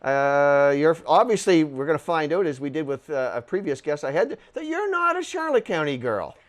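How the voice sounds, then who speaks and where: A woman with a very low voice hosts a chat show in a TV studio. Her pitch swings widely while she gropes through her questions, restarting often. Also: very animated. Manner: rambling, halting, many restarts. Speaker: talk show host. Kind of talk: casual interview chat. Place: local TV studio.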